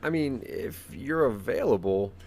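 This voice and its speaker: A man's voice: medium pitch, animated, unhurried, darkish tone, natural voice. A man playing a polite, tentative amateur engineer in dialogue in an audio drama. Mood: eager, modest. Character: Mark, polite self-made engineer, tentative and eager.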